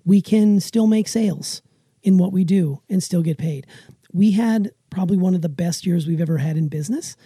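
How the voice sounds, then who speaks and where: A woman's voice: on the low side, moderate pace, warm tone, dry recording, clean studio sound. A middle-aged woman, chatting emphatically and with self-assurance as a podcast co-host. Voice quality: raspy. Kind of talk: casual chat with emphatic opinion.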